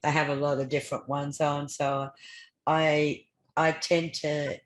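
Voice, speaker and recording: An older Australian woman with a low-pitched voice, recorded in an echoey room. She talks casually as a podcast host, in a chatty way, doubling back on her words. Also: flat delivery, a little slow. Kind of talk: casual conversation. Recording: roomy, echoey sound.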